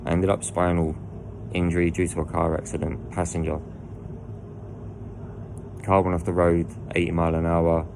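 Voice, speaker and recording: A man with a croaky voice, telling his own story in a rambling way full of restarts and asides. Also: low-pitched, background noise. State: croaky voice from lying down. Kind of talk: candid personal storytelling. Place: indoors lying down at home.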